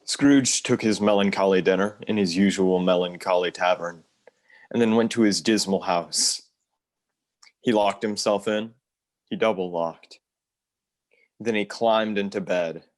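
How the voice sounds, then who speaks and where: A man's voice: low voice, a little slow, pauses often. A man acting as the sombre narrator of a radio play, reading scripted storytelling lines.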